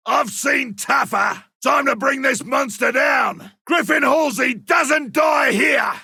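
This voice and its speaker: Gravelly Australian monster hunter. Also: male voice, very high-pitched, dry recording, studio quality, full character voice. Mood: taunting, boastful. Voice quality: gravelly, rough. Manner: forceful, punchy short calls. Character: grizzled, boastful veteran monster hunter, older man. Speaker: video game character voice. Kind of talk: video game combat and tracking barks.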